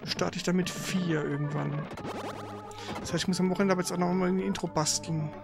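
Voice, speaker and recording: Man, casual game streamer, chatty, rambling, clear music in the background. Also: high-pitched, fairly even intonation, a little fast. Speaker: streamer and co-host.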